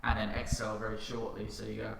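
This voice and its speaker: A man's voice: on the low side, monotone, fast talker. A young man telling stories in casual, matey podcast chat as a guest. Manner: informal, matey storytelling. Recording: roomy sound with clear noise.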